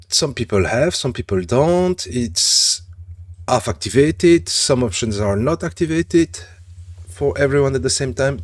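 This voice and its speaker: A man with a French accent rambles through gameplay commentary, stopping often to rephrase himself. His voice is husky, with a low pitch and a slow, unhurried pace. The sound is dry and close, with no room echo. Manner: rambling, chatty, frequent self-corrections. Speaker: gaming channel host.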